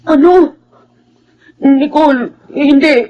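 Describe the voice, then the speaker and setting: A woman's voice: sits high, steady tone, slow, muffled recording, soft background music. A woman playing a desperate lover in a Tagalog radio drama, crying out and pleading. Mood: desperate, tearful. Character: desperate, pleading lover begging Nicole to stay.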